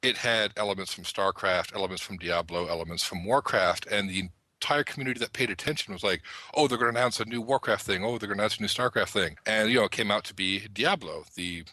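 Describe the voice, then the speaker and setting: Deep-voiced man with a bright edge. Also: quick pace, dry recording. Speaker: podcast panelist. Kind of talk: casual gaming panel discussion.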